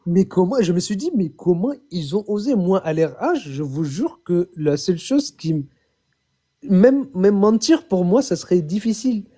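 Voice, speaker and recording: A young man from Chad calling in to tell his own story, halting, repeating words and often swearing it's true. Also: sits high, big pitch swings, dark tone. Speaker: caller. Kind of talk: phoned-in personal testimony.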